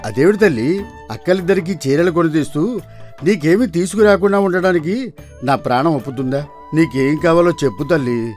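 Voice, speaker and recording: A kindly village farmer from an animated folktale, acted by a man, with a rather high voice. He is anxious and exclamatory, often talking aloud to himself, over background music. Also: normal speed, lightly acted. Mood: affectionate. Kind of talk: dramatised animated folktale dialogue.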